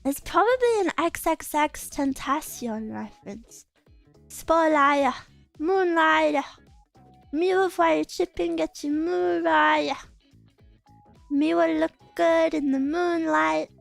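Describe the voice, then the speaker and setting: A young woman streamer chatting casually with her viewers, self-conscious and giggly, with a scratchy throat she keeps clearing. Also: high-pitched, slow pace, dry recording, soft background music. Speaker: VTuber streamer. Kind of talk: casual stream chat, reading chat aloud.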